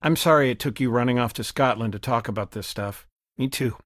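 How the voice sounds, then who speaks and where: A man playing a gentle, regretful middle-aged father in a video game, speaking in a reflective, conversational way. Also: medium pitch, a little fast, no room sound, clean studio sound, lightly acted. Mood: tender, wry.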